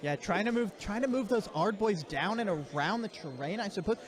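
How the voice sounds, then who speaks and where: A soft-spoken man commentating a tabletop game, sitting too far from his microphone. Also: sits high, lively intonation, brisk, a crowd in the background. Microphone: too far from his mic.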